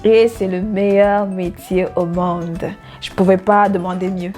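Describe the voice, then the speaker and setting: A woman in her thirties, from Gabon, talks to her viewers in a relaxed faith vlog. She is cheerful, laughs often and drops in an amen here and there. Her voice sits at an ordinary middle pitch, with faint music playing underneath. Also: measured pace. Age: 30s. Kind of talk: casual faith vlog self-introduction.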